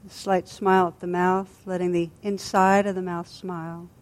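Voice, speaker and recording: A woman teacher leading a guided body scan meditation in a gentle, soothing, unhurried manner with long pauses. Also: on the low side, level tone.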